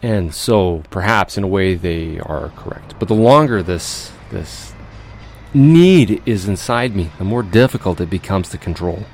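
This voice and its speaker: Man acting a deep-voiced, fastidious, cold recluse. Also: animated, darkish tone, a little hiss or hum. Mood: tormented, confessional. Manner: precise, deliberate, formal, brooding. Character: cold, fastidious, obsessive male recluse confessing monstrous urges. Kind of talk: audio drama monologue.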